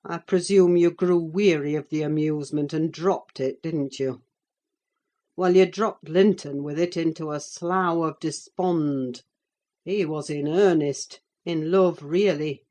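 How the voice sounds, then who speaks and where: Woman acting a slow, menacing gentleman villain. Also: fairly even intonation. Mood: accusing, threatening. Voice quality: deep. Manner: deliberate, accusing, sardonic. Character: wicked, menacing middle-aged gentleman, sardonic and demanding. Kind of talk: audiobook character voice.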